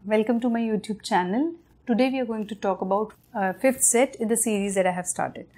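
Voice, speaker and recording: A woman in her thirties with an Indian English accent talks straight to the camera from her living room. She walks parents through her lesson plan step by step in a friendly, patient way. She often pauses on fillers and restarts a word before going on. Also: medium pitch, warm tone. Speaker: parenting channel host. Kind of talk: explaining a home reading method to parents on camera. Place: living room at night in front of a large window.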